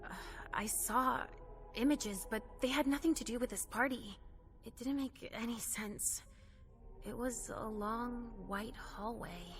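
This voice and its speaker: An actress playing a hesitant vampire seer in a video game cutscene, tentative and obedient. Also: female voice, on the high side, a little slow, close to an everyday voice. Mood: uncertain. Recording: faint music behind.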